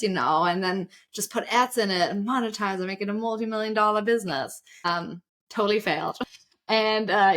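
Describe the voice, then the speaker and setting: A woman in her thirties from Germany, speaking English with a German accent, telling her story as a guest on an interview show in a lively, chatty way full of "like" and "literally". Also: mid-range voice. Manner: energetic, storytelling, says "like" and "literally" a lot. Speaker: guest, side hustle coach. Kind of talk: conversational podcast interview.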